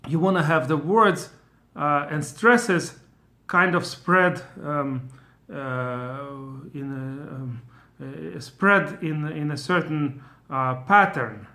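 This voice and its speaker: A man's voice: fairly high pitch, takes it slowly, pushed and bright. A middle-aged man with a Russian accent, a Torah teacher giving an informal lesson, thinking aloud with many fillers and restarts.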